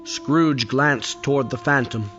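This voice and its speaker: An actor as the sober narrator of a Victorian tale, reading scripted dramatic narration in slightly dull audio. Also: male voice, mid-range voice, slow pace, fairly bright tone.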